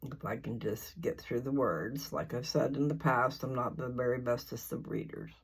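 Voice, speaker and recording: An older speaker chatting casually and informally in a folksy, meandering way, often halting to search for words. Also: medium pitch, fairly even intonation, breathy edge. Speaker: host of a scripture reading channel. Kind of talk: rambling casual chat before a reading.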